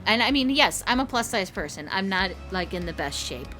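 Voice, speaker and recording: A woman's voice: medium pitch, lively intonation, brisk, sharp tone. A woman co-hosting a casual review, talking informally and candidly as she recalls what happened. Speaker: co-host of a haunted attraction review. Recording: music under the talk.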